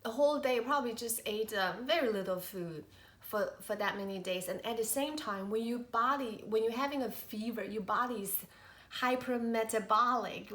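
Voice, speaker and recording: A woman in her thirties, a mother of three, chatting casually to camera in a halting, rambling way, her voice nasal from a cold. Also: on the high side, bright, forceful tone. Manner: rambling, halting, many fillers and restarts. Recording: echoey room.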